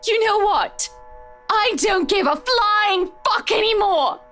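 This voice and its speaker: High-voiced, well-spoken young wife. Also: female voice, lively intonation, takes it slowly, a bit bright, faint music behind, full character voice. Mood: bitter, furious. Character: upset, well-spoken married woman venting about her husband. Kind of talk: visual novel game voice acting.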